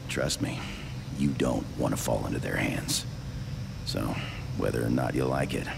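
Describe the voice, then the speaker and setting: Very deep, gravelly rebel captain. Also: male voice, measured pace, very noisy, exaggerated voice. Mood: grave, grateful. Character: gruff, determined male rebel captain. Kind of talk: video game cutscene acting.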